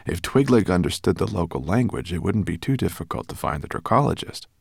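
Deep, gravelly male audiobook narrator. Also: brisk, no echo, studio quality. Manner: expressive, animated storytelling.

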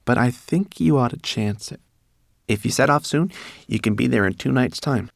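A man's voice: mid-range voice, lively intonation, normal speed, gravelly, no room sound, clean studio sound, voice pushed up. A man voicing a fussy, anxious, know-it-all female rat in a storybook, speaking insistently. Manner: fussy, insistent, stammering when flustered.

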